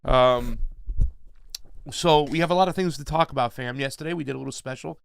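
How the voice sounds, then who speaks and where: A man hosting a live talk stream, loud and emphatic, with lots of fillers and restarts. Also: medium pitch, fairly quick, vocal fry, clear, resonant tone, dry recording. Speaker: show host. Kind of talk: casual live-stream chat.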